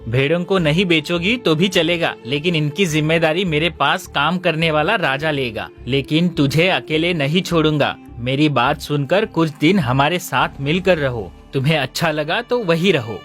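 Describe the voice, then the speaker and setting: A caring middle-aged uncle from a cartoon story, voiced by a man, earnest and coaxing. His voice sits a little high, and soft music plays behind. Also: moderate pace, hardly any pauses, lightly acted. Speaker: voice actor.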